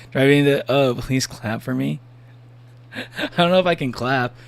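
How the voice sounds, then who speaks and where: A man's voice: mid-range voice, slow pace, creaky. A man streaming a game live, chatting casually with his viewers, rambling with lots of fillers and frequent laughter. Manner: rambling, laughs often, many restarts and fillers. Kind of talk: casual live-stream chat while gaming.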